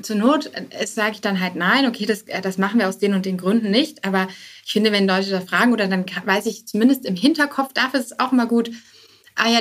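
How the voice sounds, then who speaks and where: Woman, startup founder as podcast guest. Mid-pitched, conversational, informal, hesitant phrasing, English business terms mixed in. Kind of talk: conversational interview answers.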